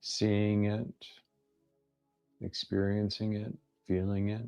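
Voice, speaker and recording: A deep-voiced man guides a meditation over background music. He speaks very slowly, in gently inviting phrases broken by long pauses. He sounds like a teacher giving soft instruction. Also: completely flat delivery, vocal fry, smooth.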